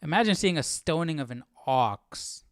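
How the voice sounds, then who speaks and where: A man's voice: a little high, expressive, normal speed. A man hosting a live Bible study with casual commentary, reasoning aloud hesitantly and often checking himself with "right?".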